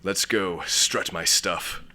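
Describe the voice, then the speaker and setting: A man's voice: low-pitched, fairly even intonation, slow pace, very noisy. A man playing a hard-drinking, lecherous space lord in a comic sci-fi audio drama, pompous, florid and blustering. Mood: smug, bored.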